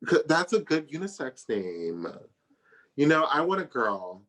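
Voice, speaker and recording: A woman's voice: low voice, very animated, measured pace. A woman in her early thirties, a guest in a casual interview, talking in a playful, chatty way and laughing easily. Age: 30s. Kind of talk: casual chat.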